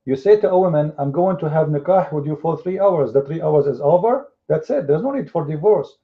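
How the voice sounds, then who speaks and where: Arabic-accented guy arguing hard, kinda high voice, tinny phone-line sound. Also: lively intonation, brisk. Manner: combative, rhetorical questions, mocking. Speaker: debater. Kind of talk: heated live online debate. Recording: phone-like lo-fi audio.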